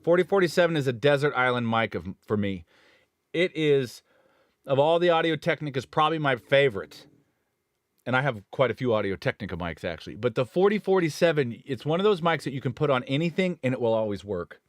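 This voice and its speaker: A man's voice: mid-range voice, expressive, a little fast, no echo. Rambling studio engineer man, dry sound. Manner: rambling, self-correcting, enthusiastic about gear. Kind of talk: casual live-stream gear chat.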